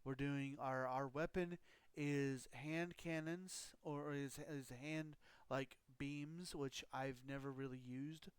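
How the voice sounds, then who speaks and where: A man's voice: mid-range voice, fairly even intonation, slow pace, smooth, no room sound. A man live-streaming a game, talking casually with a scratchy throat and rambling explanations full of fillers.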